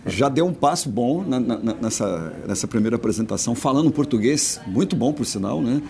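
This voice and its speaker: A man co-hosting a football talk show, chatting quickly and casually and tagging many of his sentences with little questions. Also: medium pitch, lively intonation, creaky, mellow. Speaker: sports show co-host.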